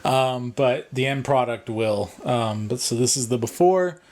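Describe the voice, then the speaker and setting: A man's voice: mid-range voice, a little slow, a little mellow. A man speaks in a mid-range voice with an informal vlog delivery. He explains his tips and plans casually, rambling a little as he thinks aloud to his viewers. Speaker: vlogger, video editor. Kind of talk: casual vlog talk and tutorial.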